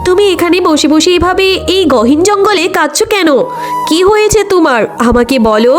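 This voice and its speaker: A woman voicing a kind, gentle fairy in a children's cartoon. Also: very high-pitched, animated, fairly quick, soft background music. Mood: kind, reassuring. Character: kindly, gentle forest fairy.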